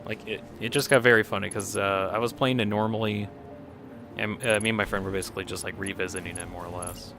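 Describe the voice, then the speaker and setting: A man's voice: fairly low pitch, fairly even intonation, fast. A man streaming a game, chatting casually and rambling as he plays, a bit nasal from a cold. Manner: rambling, reacts to chat, chuckles often. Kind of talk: casual commentary while playing. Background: game music playing.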